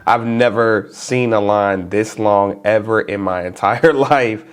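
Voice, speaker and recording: A man with a stuffy nose, talking casually to camera as a vlogger in a relaxed, chatty, informal way. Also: on the low side, takes it slowly, clear, resonant tone.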